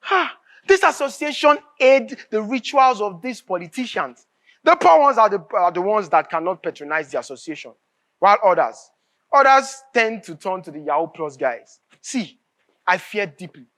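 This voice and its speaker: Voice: mid-range voice, very animated, moderate pace, fairly bright tone. An actor with a Nigerian accent playing an anguished, bitter writer in a live stage drama, declaiming emphatically and repeating phrases. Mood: scornful, fearful. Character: anguished, bitter writer raging at a corrupt nation.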